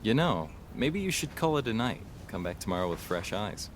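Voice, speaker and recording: Deep-voiced, level-headed detective. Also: male voice, very animated, normal speed, background noise. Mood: concerned. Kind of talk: audio drama dialogue. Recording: noticeable background ambience.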